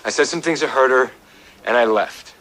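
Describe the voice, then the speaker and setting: An actor playing an embittered American fiance in his twenties, halting and defensive under police questioning in an audio drama. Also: mid-range voice, a bit bright, natural voice, low-quality recording. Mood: subdued confession.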